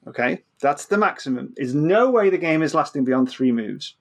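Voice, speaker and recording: A man's voice: fairly high pitch, expressive, moderate pace. A man explaining science informally as an interview guest, often repeating words and restarting, checking in with "right?".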